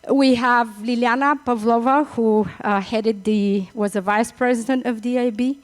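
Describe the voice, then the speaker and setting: A woman's voice: on the high side, fairly even intonation, normal speed. A middle-aged woman with a Bulgarian accent giving a conference speech, with hesitant phrasing and repeated words. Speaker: conference speaker. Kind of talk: opening speech at a summit.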